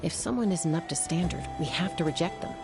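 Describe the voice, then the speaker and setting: A woman playing a composed, assured young warrior in a video game, speaking in a measured, matter-of-fact way. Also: lowish voice, over loud music, natural voice. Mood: calm, explanatory.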